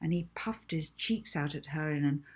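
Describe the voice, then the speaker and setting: Woman reading her own kids book, slightly breathy husky voice, expressive storytelling. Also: sits low, moderate pace. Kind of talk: expressive children's audiobook narration.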